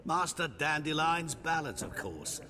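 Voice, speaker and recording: An actor playing a sly wandering merchant in a fantasy game, florid and courteous, ingratiating and teasing. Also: male voice, fairly high pitch, sing-song, a little slow, a crowd in the background. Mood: theatrical, ingratiating. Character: sly, humble-seeming wandering male merchant, theatrical and courteous. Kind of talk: fantasy video game acting. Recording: game dialogue with clear background noise.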